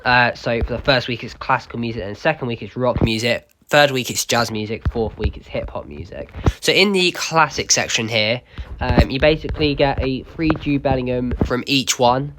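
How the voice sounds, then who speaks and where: Guy under the weather, bit croaky, talking through a mobile game, mid pitch, noisy recording. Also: moderate pace. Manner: chatty, many ums, addresses viewers as guys. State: unwell, slightly croaky. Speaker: gaming YouTuber. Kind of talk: casual game walkthrough narration.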